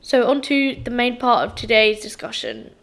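Croaky woman, slightly high. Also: sing-song, normal speed, soft background music. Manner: chatty, with many ums and restarts. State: croaky voice from feeling unwell. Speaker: podcast host. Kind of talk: casual solo podcast monologue.

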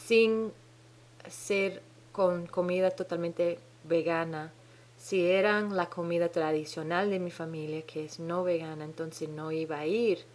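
A woman's voice: lowish voice, a little mellow. A woman hosting her own podcast with a Dominican-tinged Spanish accent, talking alone in a hesitant way, often stopping to search for words and restarting. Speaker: podcast host. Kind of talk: solo podcast monologue. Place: home in New Zealand.

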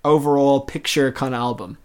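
A man's voice: mid-range voice, fairly even intonation, fairly quick, darkish tone, clear, resonant tone. A man, chatting casually and enthusiastically as a music podcast host reviewing albums.

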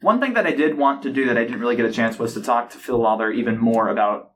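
A man hosting a podcast in casual conversation, talking in an animated, teasing way and laughing readily. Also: medium pitch, fast talker. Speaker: podcast host. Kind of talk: casual chat. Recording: noisy conversational recording with background ambience.

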